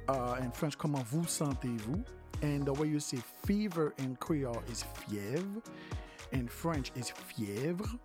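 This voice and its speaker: A language teacher giving an informal lesson, repeating each phrase several times, with some hesitation and self-correction. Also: mid-range voice.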